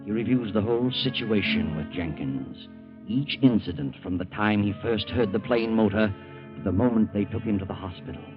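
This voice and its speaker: An actor as a grave, suspenseful storyteller, narrating an old-time radio drama in a deliberate, portentous voice. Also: male voice, on the low side, lightly acted. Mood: urgent, suspenseful. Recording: muffled old radio recording. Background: prominent plucked-string music.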